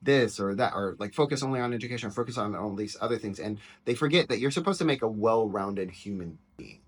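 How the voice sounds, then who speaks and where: A man with a loud, penetrating voice giving candid, rambling commentary as a teacher reacting to his own book. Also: fairly low pitch, lively intonation, fast, slightly rough.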